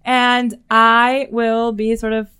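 Clear-voiced American woman chatting casually about books. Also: fairly high pitch, little pitch movement, slow pace, sharp tone. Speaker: podcast co-host discussing upcoming books. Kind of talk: casual book chat.

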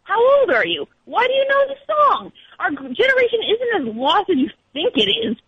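A woman's voice: very high voice, huge pitch swings, fairly quick, dry recording, lo-fi sound. A young woman caller chatting casually and reading comments aloud, somewhat hesitant in manner. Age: young adult.

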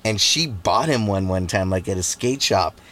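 A man's voice: low voice, expressive, normal speed, sharp tone. A man in his forties from Southern California, chatting casually as a podcast guest and rambling through his stories. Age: 40s. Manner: laid-back, rambling storyteller with fillers. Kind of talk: casual chat.

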